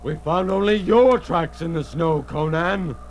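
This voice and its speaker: A man plays a loyal Norse warrior in a fantasy audio drama. His voice sits fairly high, with an urgent, declamatory delivery and archaic phrasing. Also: a little slow, close to an everyday voice. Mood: earnest.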